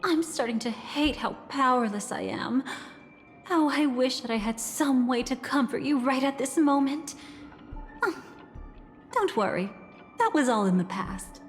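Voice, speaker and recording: An actress playing a calm, mysterious woman who guides the listener through past memories in a composed, reflective way. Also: sits high, unhurried. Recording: reverberant game audio with soft music.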